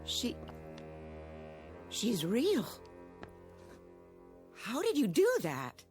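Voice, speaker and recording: An actress playing a scornful, sceptical wife in a radio drama, sharp-tongued, curt and sarcastic. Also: female voice, fairly high pitch, wildly swooping pitch, very slow, soft background music, exaggerated voice. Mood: stunned.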